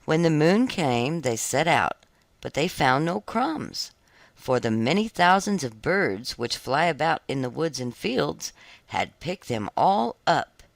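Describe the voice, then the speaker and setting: Audiobook narrator for children's fairy tales: expressive range, some fry, dry recording. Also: mid-range voice, slow pace, no room sound.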